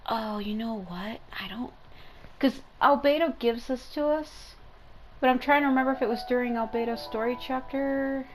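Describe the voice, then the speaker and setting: A woman with a congested, mucusy throat doing casual, sweary game commentary, thinking out loud. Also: a little high, normal speed, a little hiss or hum, rough recording. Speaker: gaming video host. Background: music.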